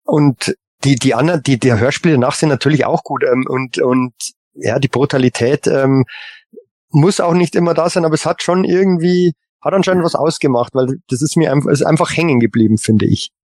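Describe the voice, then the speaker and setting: A man co-hosting a casual fan podcast, rambling and halting but enthusiastic. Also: mid-range voice, a little mellow, no echo. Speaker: podcast co-host. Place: remote online call.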